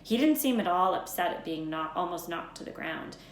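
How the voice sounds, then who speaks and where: A woman reading a storybook aloud as an expressive narrator, with emphatic, dramatic phrasing. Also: fairly low pitch, normal speed. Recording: echoey room, faint background noise.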